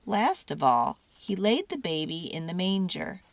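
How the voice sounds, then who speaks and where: Very animated, unhurried woman storyteller. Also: fairly low pitch, no echo. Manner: theatrical, deliberate phrasing with drawn-out words. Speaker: children's storyteller. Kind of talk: dramatic storybook read-aloud.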